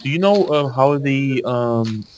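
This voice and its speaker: A man's voice: medium pitch, lively intonation, takes it slowly, a little mellow, slight background noise. A man chatting casually with friends in a voice call while playing an online game, restarting his sentences often. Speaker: gamer in voice chat.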